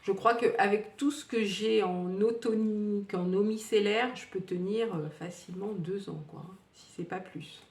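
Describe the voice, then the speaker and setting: A woman's voice: mid-range voice, measured pace, few pauses, a little mellow, slight background noise. A woman in her fifties reviewing used-up beauty products in a casual, rambling way, with many fillers and restarts. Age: 50s. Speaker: beauty vlogger. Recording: echoey room, distant from the mic.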